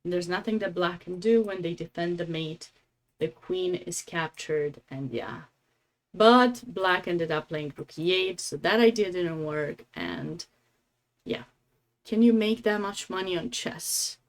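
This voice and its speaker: A woman's voice: fairly low pitch, a little slow, poor audio. A woman from Romania with a Romanian accent, giving casual, chatty live game commentary as a streamer, with affectionate asides.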